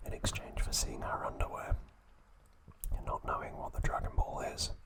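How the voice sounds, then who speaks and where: A man whispering slowly and deliberately in short, hushed phrases, narrating as an ASMR host close to the microphone. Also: low-quality recording. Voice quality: breathy, unvoiced whisper.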